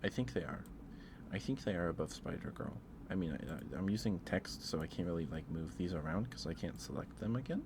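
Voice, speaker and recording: A man doing a casual, rambling ASMR livestream, speaking in a hesitant, musing way and repeating himself over clear background noise. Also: low-pitched, expressive, a little fast. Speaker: live streamer.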